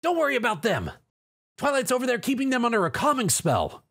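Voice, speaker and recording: Man putting on a raspy brash girl pony. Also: extremely high pitch, wildly swooping pitch, fairly quick, fairly bright tone, no echo, voice pushed up, exaggerated voice. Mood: brash urgency. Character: brash young female flying pony, bossy and urgent.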